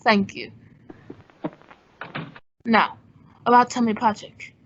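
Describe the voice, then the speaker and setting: A woman playing a suave, wry gentleman sleuth, polished and teasing, in an old-time radio detective drama. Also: a little high, slow pace, dull audio. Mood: wry, persuasive.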